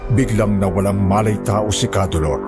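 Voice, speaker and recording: Low-voiced man narrating a horror story. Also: moderate pace, loud music behind the voice. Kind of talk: scripted first-person horror narration.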